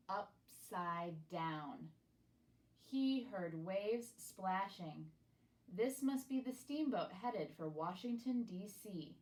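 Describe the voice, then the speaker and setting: Female storybook reader, mid-pitched, slow pace, expressive and deliberate phrasing. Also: poor audio. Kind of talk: expressive read-aloud of a children's picture book.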